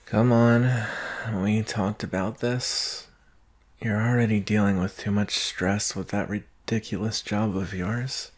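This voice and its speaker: A man acting a doting, analytical boyfriend in an intimate ASMR roleplay, gentle and musing with long pauses. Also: on the low side, fairly even intonation. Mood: teasing concern. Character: doting boyfriend, soft-spoken, teasing, analytical former forensics student.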